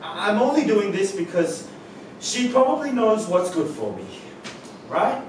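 A man's voice: sits high, big pitch swings, measured pace. Flustered young man, as an actor plays him. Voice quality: flustered, defensive. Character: awkward eighteen-year-old young man. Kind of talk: staged play reading. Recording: roomy theater stage, distant mic, noisy.

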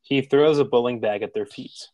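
A man's voice: medium pitch, moderate pace, darkish tone, no echo, poor audio. A man narrating the stage directions of a screenplay at a table read.

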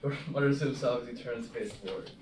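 A man's voice: medium pitch, steady tone, fast. A young man reading stage directions as scripted narration at a film table read. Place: small meeting room, indoors. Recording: roomy, distant mic, faint noise.

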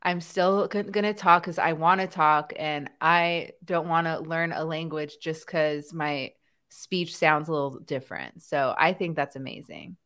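Young female host with a low, smooth voice and a mild stutter, on a headset mic. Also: fairly bright tone. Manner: pauses and restarts, swaps words; a mild stutter. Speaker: podcast host. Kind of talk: casual interview chat. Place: home room. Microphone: headset with boom mic on a video call.

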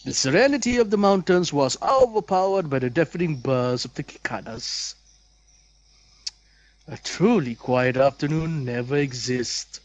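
Expressive high-pitched man reading aloud. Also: measured pace, poor audio. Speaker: let's play host. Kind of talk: reading a visual novel aloud with asides.

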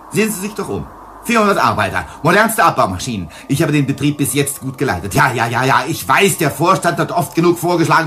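An actor playing a hard, domineering colony director in a science fiction radio drama, with an emphatic delivery. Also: male voice, a little high, sharp tone, a little hiss or hum. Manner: commanding, emphatic. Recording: dramatic music bed under the voice.

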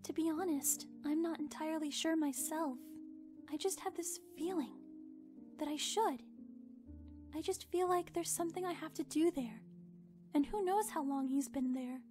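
A woman voicing a gentle, shy, earnest young pony in an animated fan drama, soft-spoken and hesitant. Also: high-pitched, moderate pace, faint music behind, lightly acted. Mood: earnest, wistful.

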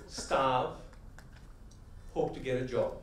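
A middle-aged man giving an animated keynote talk on stage with a headset mic, telling stories and asking rhetorical questions. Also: mid-range voice, extremely slow. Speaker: conference speaker. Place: large conference hall stage. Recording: echoey hall, heard from a distance.

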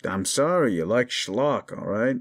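A man's voice: medium pitch, lively intonation, measured pace. A man voicing a cruel, condescending demon in an intimate audio roleplay, taunting the listener with drawling sarcasm. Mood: irritated, teasing. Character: condescending male demon, gleefully cruel and mocking.

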